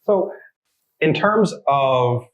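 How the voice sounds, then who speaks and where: A man's voice: medium pitch, lively intonation, extremely slow, muffled recording. Earnest male host in a roomy space. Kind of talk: scripted intro, then moderating a panel. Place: panel discussion setting. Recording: roomy echo.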